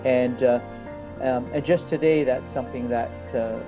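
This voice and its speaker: A middle-aged man, a coach, giving a personal testimonial in a halting, reflective way with pauses mid-thought. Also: medium pitch, steady tone. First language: English. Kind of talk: personal testimonial talk to an audience. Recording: slightly dull audio with music underneath. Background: music.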